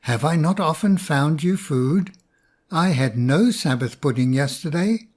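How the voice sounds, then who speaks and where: A cunning fox talking his way out of trouble, read by a man. He speaks slowly and persuasively, in a fairly high voice. It sounds like a storybook read aloud. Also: animated, a little mellow. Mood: scheming. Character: sly scheming male fox.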